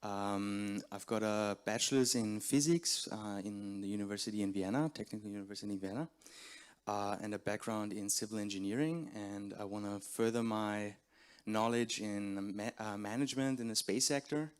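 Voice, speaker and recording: A man's voice: fairly low pitch, fairly even intonation, normal speed, clean tone. A man giving a casual self-introduction as an incoming student. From Austria. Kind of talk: short self-introduction at a ceremony.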